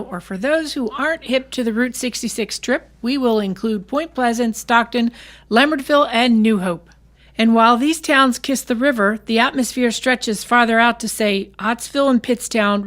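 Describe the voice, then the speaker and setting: Female co-narrator for radio theatre, voice a little high, scripted delivery. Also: moderate pace, fairly bright tone.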